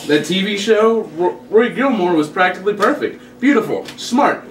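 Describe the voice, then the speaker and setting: A man playing an excitable, teasing guy gossiping with his buddy at a comedy table read. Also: high-pitched, moderate pace. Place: indoor room, group table read. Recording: echoey room, distant mic, faint noise.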